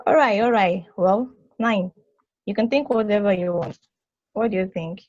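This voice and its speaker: A woman's voice: medium pitch, normal speed, a little mellow, no room sound, natural voice. Woman playing blunt big-sister space probe, muffled mic. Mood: teasing, exasperated. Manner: casual, blunt, teasing. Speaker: actor in a table read. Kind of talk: play table read, conversational acting.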